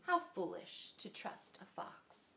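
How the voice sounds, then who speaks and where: A woman voicing a firm, serious male mouse dentist in a storybook, announcing things crisply. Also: slow pace, bright, forceful tone, reverberant. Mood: grumbling.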